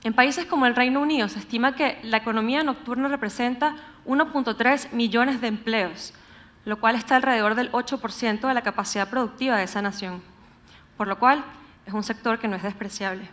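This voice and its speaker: High-voiced Venezuelan woman giving a talk. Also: brisk, a bit bright. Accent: Venezuelan, Caracas. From Venezuela, grew up in Caracas. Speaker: conference speaker. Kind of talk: prepared public talk. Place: on stage at a live talk event.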